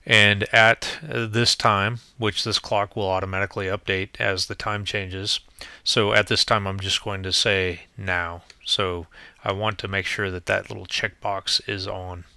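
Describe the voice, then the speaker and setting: A man's voice: on the low side, little pitch movement, measured pace, gravelly, bright, forceful tone, smooth, no room sound. A man presenting an informal demo as a tutorial, explaining things step by step in a plainspoken way.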